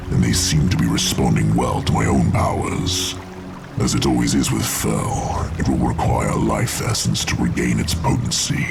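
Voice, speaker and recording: An actor playing a sinister, cruel orc warlock in a video game, gravelly and menacing, speaking deliberately. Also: male voice, a bass voice, heavily acted.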